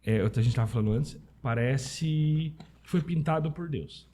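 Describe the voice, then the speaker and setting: Mellow, husky Brazilian man chatting. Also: on the high side, unhurried. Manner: informal, anecdotal, peppered with tag questions. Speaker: podcast guest, Italian citizenship specialist. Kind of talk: casual conversational interview.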